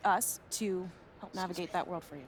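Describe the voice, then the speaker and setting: An actress playing an assertive, businesslike brand manager, persuasive and matter-of-fact, in a video game cutscene. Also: female voice, fairly low pitch, fairly even intonation, moderate pace, slight background noise, lightly acted. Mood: firm, persuasive.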